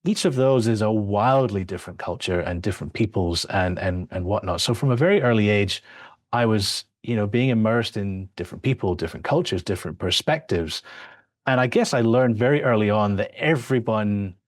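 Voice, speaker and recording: Man, 30s, low voice, reflective guest with a dry close mic and many restarts. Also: moderate pace, dry recording. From born in Australia, raised in several countries. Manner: reflective, restarts often, many "you know" fillers. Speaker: filmmaker guest. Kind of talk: conversational podcast interview.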